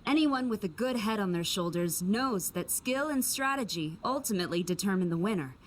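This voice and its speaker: A stern, reserved female general played by a woman in an anime game. Her medium-pitched voice is formal and dignified. There is faint background ambience. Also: expressive, fairly quick, a little hiss or hum, natural voice.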